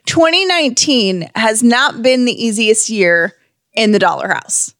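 A woman's voice: a little high, animated, a little slow, a bit bright, no room sound, studio quality. A woman co-hosting a podcast in a casual, chatty style. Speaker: podcast co-host.

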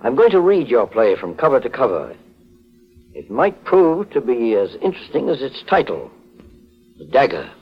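An actor playing a calm, firm middle-aged private investigator who questions formally and politely in a golden age radio mystery. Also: male voice, mid-range voice, moderate pace, slightly rough, faint music behind. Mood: brisk, commanding. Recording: muffled vintage 1940s radio recording.

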